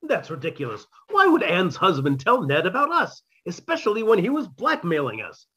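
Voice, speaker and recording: A man's voice: sits high, huge pitch swings, moderate pace, bright, forceful tone, slightly rough, exaggerated voice. A man playing a blustering, dismissive illicit lover in an old-time radio comedy mystery. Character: blustering, dismissive male lover in murder farce.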